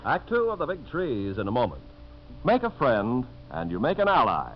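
A man's voice: mid-range voice, huge pitch swings, moderate pace, loud music behind the voice. A man with an American accent reading scripted narration as a 1950s radio announcer. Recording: muffled, noisy 1950s radio recording.

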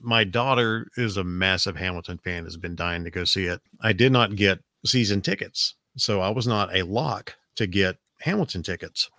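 A middle-aged man tells a long story on his podcast. His voice sits very low and has turned slightly raspy. He rambles, restarts sentences and repeats words. The sound is dry and close, with no room echo. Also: normal speed, few pauses, bright, forceful tone. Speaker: podcast host. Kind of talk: casual storytelling chat.

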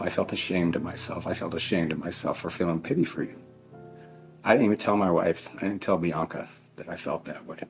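A middle-aged man giving a solemn victim impact statement in halting, emotional phrases, grieving and addressing someone directly. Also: low voice. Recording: faint music laid under the voice. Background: faint plucked guitar music.

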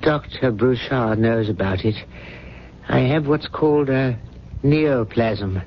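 Man acting devoted middle-aged butler, earnest, formal, halting, dying, 1970s radio drama, dull hissy broadcast. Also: mid-range voice, close to an everyday voice. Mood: grave, resigned.

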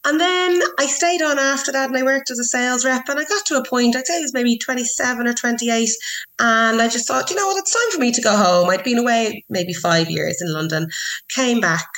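A woman's voice: on the high side, expressive, brisk, a bit bright, rough recording. A woman in her thirties, chatting in an interview with many restarts and fillers. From Ireland. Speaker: interview guest, wine professional. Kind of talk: casual interview chat.

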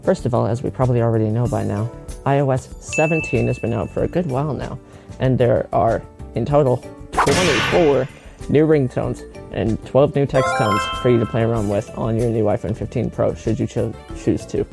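Male tech contributor, creaky voice, wry exasperated rant, clear music bed underneath. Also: mid-range voice, normal speed. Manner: sarcastic, exasperated. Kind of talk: humorous scripted tech rant. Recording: music and sound effects underneath.

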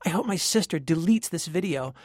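A woman's voice: on the low side, animated, fairly quick, a little mellow, no echo, studio quality. A woman acting as a naive, perky teenage girl singer in a parody radio play.

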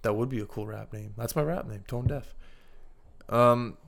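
A man's voice: medium pitch, moderate pace, vocal fry. A man co-hosting a podcast, chatting casually in a rambling, upbeat way and teasing his co-host. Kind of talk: casual chat.

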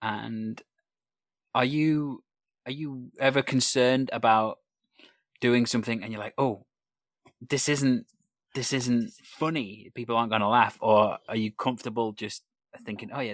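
A man from North Wales with no Welsh accent, hosting a relaxed interview, thoughtful and a little hesitant, quick to laugh. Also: medium pitch, dry recording. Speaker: podcast host. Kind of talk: conversational interview.

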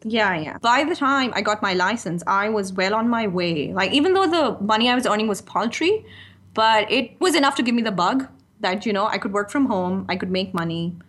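A woman's voice: medium pitch, expressive, fairly quick, a bit bright. Chatty woman guest. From Pakistan. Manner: chatty and candid, laughs easily, many "you know" asides. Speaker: guest, freelance writer. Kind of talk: casual interview chat.

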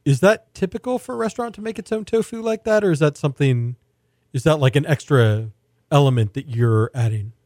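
A man's voice: a little high, brisk, darkish tone, no echo. Curious male podcast host, dry mic. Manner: curious, rephrases questions as he goes. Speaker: podcast host, food interviewer. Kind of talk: casual interview questions.

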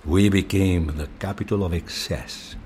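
A man playing a courteous, proud and enigmatic Venetian mask maker in an audio drama, measured, with an Italian accent. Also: low voice, steady tone, a little mellow, slight background noise. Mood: proud, beguiling. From Venice, Italy.

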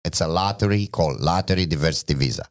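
A middle-aged man with a Romanian accent and a very low, deep voice. He speaks as a podcast guest in a relaxed chat, in halting English. His phrases come out short and listed, with frequent restarts. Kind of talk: casual podcast conversation.

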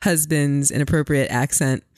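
A woman's voice: sits low, steady tone, moderate pace, darkish tone, no room sound, studio quality. A woman co-hosting a podcast with her husband, upbeat and teasing, laughing easily. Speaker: podcast co-host. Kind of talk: casual chat.

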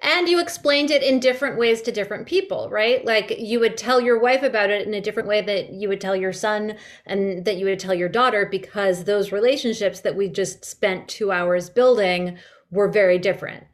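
A woman chatting in an interview, halting and emphatic, repeating words as she thinks. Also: mid-range voice. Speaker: director and audio drama maker. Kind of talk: casual interview chat.

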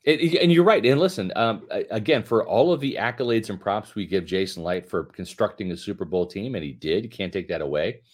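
A man's voice: on the low side, lively intonation, brisk, few pauses. A man in his fifties co-hosting a late-night sports podcast, joking and emphatic, reading an ad in his own words. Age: 50s. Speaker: podcast co-host.

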